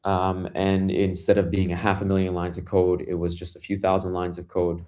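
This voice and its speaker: Brooklyn-accented man, low register, guest founder, relaxed storyteller who restarts his sentences. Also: moderate pace. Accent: Brooklyn New York. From Brooklyn, New York, US. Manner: loose storytelling with many fillers and restarts. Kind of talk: casual interview conversation.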